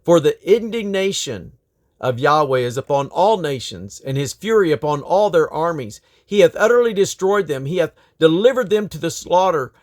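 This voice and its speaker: A man's voice: fairly high pitch, lively intonation, normal speed, a little mellow. A man reading scripture aloud in a solemn, declamatory manner. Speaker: Bible reader.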